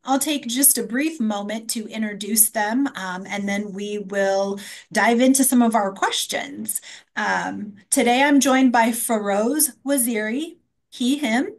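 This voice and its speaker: A woman's voice: on the high side, unhurried. Woman moderating a conference panel. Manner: reads introductions with frequent ums.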